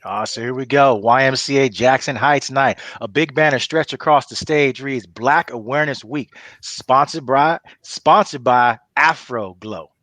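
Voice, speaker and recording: A man's voice: mid-range voice, unhurried, hardly any pauses, fairly bright tone. Guy reading out scene directions at a table read, medium voice, no room echo. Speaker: narrator reading stage directions. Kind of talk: script table read narration.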